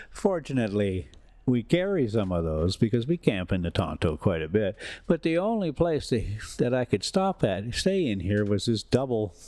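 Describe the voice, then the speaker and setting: A man's voice: on the low side, darkish tone. A man hosting a vlog in a folksy, casual, rambling way. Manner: folksy, chuckling, self-interrupting. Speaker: vlog host and story reader. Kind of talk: casual rambling travel narration.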